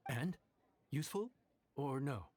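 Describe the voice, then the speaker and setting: An actor playing a friendly, humble young inn cook in an anime video game, easily flustered, chatty and folksy with some stammering. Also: male voice, medium pitch, expressive, a little slow, darkish tone, no echo. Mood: easygoing.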